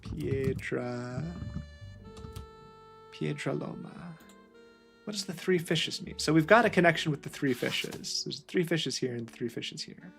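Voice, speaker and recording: A man's voice: fairly high pitch, a little slow, creaky, music in the background. A man playing a detective game, musing aloud and often trailing off mid-thought. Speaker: video game player. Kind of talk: gameplay commentary.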